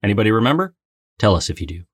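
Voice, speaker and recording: A man co-hosting a podcast in casual, playful banter, with an excitable, mock-dramatic streak. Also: on the low side, rapid-fire, dry recording, clean studio sound. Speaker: podcast co-host and trivia game player.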